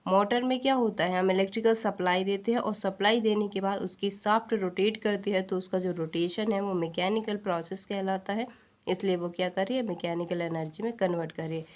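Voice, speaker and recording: A woman's voice: mid-range voice, steady tone, fairly quick. Indian woman teacher explaining step by step. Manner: instructional, asks and answers own questions, repeats key points; mixes Hindi with English technical terms. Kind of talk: explanatory exam-prep science lesson.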